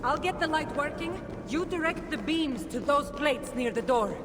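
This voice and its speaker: Determined warrior lady in a game, high pitched, emphatic n exasperated, really noisy background. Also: expressive, normal speed. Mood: earnest. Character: exasperated, determined female warrior with secret knowledge. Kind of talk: dramatic video game acting. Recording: loud ambient noise behind.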